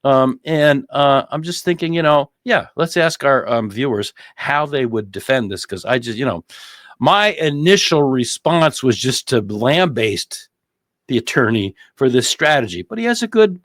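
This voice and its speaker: A man's voice: mid-range voice, big pitch swings, unhurried, fairly bright tone, no room sound. A man hosting a legal commentary show, talking conversationally, often repeating phrases and posing rhetorical questions.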